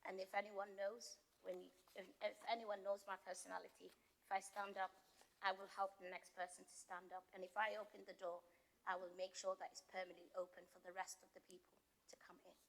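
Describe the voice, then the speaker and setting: A woman's voice: medium pitch, vocal fry, a bit bright. A middle-aged woman, the retiring mayor, giving a heartfelt, joking farewell speech in a council chamber.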